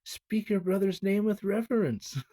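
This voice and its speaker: Guy doing a stern tired storybook mom, slow and formal, raised voice, scolding. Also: high voice, animated, dark tone, voice pushed up. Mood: stern reproach. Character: stern, weary mother scolding her son.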